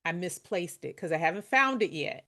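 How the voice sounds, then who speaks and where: A woman hosting a storytelling podcast, talking in a chatty, animated way with drawn-out emphatic words. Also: fairly low pitch. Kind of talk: casual interview conversation.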